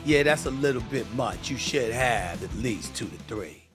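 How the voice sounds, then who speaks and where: A man's voice: medium pitch, lively intonation, normal speed. A man giving opinionated sports-style commentary in an emphatic way, asking the listener rhetorical questions, in a played clip. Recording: clip played with music underneath.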